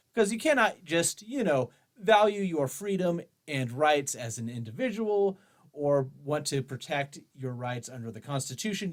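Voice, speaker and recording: A man hosting an opinion show, reading an article aloud and commenting on it in an argumentative, dryly sarcastic way. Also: a little high. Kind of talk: article read-along with running commentary.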